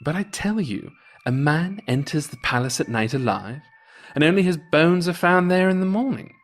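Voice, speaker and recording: A man's voice: on the high side, expressive, normal speed, voice pushed up, lightly acted. Imperious, cautioning Tsar, voiced by a man. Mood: incredulous warning. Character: male Tsar, incredulous, cautioning ruler.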